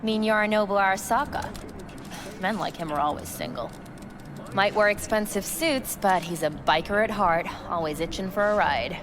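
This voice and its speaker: A woman's voice: mid-range voice, moderate pace, background noise, close to an everyday voice. A woman playing a worldly, gossiping hotel worker in a video game. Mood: teasing.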